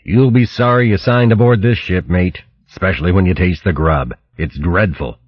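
A man's voice: low-pitched, moderate pace, husky, dry recording, highs cut off. A man voicing a cheerful male sailor mouse who talks in salty seaman's slang. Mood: grumbling. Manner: salty sailor talk, "mate", "aye".

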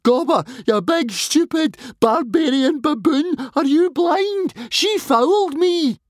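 A man voicing a scornful young Viking boy in a children's audiobook, loud, sarcastic and insulting. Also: very high-pitched, animated, slow, no room sound, pitched above the performer's own voice, heavily acted. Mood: outraged. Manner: screaming, sarcastic.

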